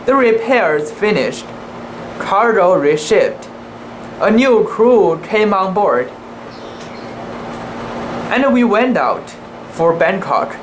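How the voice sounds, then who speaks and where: An amateur reader giving a dramatic reading of a classic sea story, in a halting, deliberate way with occasional stumbles over words. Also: mid-range voice. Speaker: amateur reader making videos. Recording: noisy room with steady background hum.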